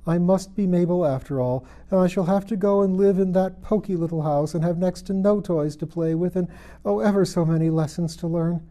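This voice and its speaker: A man's voice: high-pitched, fairly quick, dark tone, voice pushed up, close to an everyday voice. A man voicing a bewildered, chatty young girl from a storybook, fretful and prim as she talks to herself. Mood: tearful, stubborn. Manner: prim, exclamatory, talking to herself. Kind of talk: storybook character voice.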